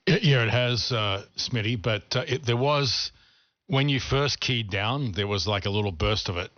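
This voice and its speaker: A man in casual amateur radio chat over a two-way radio, speaking in a somewhat halting, hesitant way. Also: mid-range voice. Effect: two-way ham radio transmission. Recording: dull radio audio with faint noise.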